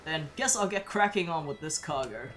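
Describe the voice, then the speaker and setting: A woman's voice: low voice, expressive, moderate pace, a bit bright, slight background noise. A woman voicing a friendly older male carpenter who talks in a homespun drawl, with "howdy" and "ain't". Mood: folksy.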